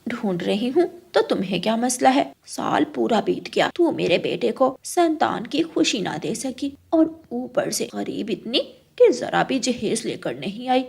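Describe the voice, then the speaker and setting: A cruel old mother-in-law bird from a cartoon played by a woman. She scolds and commands with open scorn. The voice is female, slightly husky and greedy in temper. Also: a little high, very animated, a little slow, few pauses, a little mellow, heavily acted. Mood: scornful. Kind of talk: Hindi cartoon story acting.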